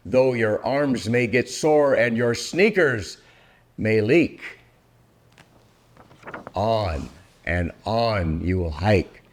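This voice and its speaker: An older man reading a rhyming children's book aloud in a theatrical, deliberate way, drawing out words for effect. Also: lowish voice. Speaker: storybook reader.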